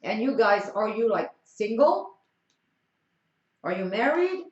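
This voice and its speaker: Teasing French woman streamer, lively pitch. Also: slow. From France. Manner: teasing, blunt opinions. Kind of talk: casual chat with viewers. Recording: echoey room, dull lo-fi sound.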